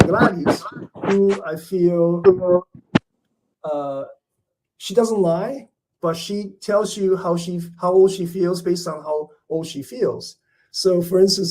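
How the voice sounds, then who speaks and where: Male interviewee: high-voiced, reflective and hesitant, low hiss behind. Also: a little mellow, poor audio. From Canada. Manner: thoughtful, reflective, hesitant with fillers and restarts. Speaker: artist interviewee. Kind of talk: conversational interview.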